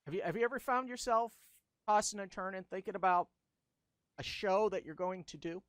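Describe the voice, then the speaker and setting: A middle-aged man hosting a casual video-call chat, with a high-pitched, squeaky voice and a chatty, enthusiastic way of going down his list. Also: brisk, fairly bright tone, no echo, studio quality. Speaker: host.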